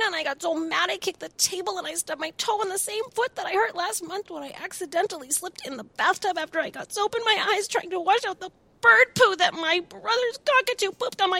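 A woman's voice: extremely high pitch, vocal fry, pushed and bright, voice pushed up, heavily acted. A woman voicing a small, upset young boy who rattles off complaints in a breathless rush for a storybook reading. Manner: rushed breathless run-on complaining.

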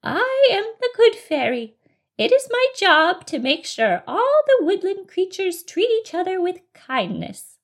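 A woman voicing a kindly but firm good fairy in a storybook, with a sing-song, gently scolding delivery. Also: very high-pitched, big pitch swings, measured pace, pitched above the performer's own voice, full character voice. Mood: gentle scolding.

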